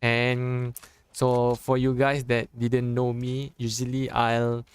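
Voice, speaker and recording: A young man from Malaysia with a Malaysian accent, a Malay native speaker casting a live mobile esports match in English, chatty and joking, with halting phrasing and frequent restarts. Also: mid-range voice, completely flat delivery, clear, resonant tone. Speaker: esports caster. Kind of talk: live esports game commentary.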